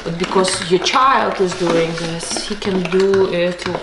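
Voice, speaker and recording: A woman's voice: lowish voice, fairly even intonation, unhurried, background noise. Woman teaching baking in an echoey kitchen. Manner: patient, instructive, non-native English with Russian words. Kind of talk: home cooking lesson with her child. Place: home kitchen table, indoors. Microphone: camera across the table. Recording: echoey kitchen with clattering dishes.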